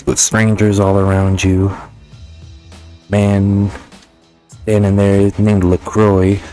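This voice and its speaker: A man's voice: deep voice, warm tone. A man giving a casual, conversational video game review voice-over in slangy, sometimes profane language.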